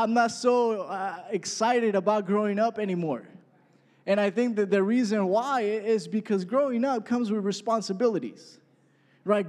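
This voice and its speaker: Man, twenties, preacher, high voice, conversational sermon, long hall echo. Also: a little mellow. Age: 20s. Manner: conversational preaching, frequent "right?" asides. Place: church hall. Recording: echoey hall.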